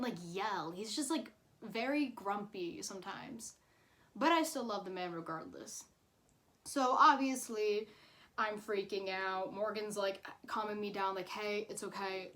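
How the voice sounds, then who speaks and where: A woman's voice: medium pitch, fairly bright tone. A woman in her twenties telling a personal story casually, in a chatty, informal way with dramatic emphasis. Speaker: YouTube storyteller.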